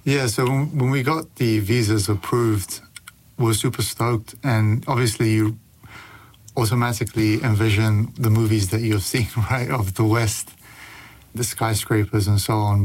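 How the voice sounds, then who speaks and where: A young man from Pakistan, talking casually as an interview guest, relaxed and chatty with plenty of fillers. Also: lowish voice. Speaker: guest author.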